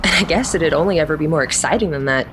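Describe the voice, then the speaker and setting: An actress playing a casual, wry crew member of a space fleet, talking reflectively in a sci-fi audio drama. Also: female voice, deep voice, quick pace, noisy background, lightly acted.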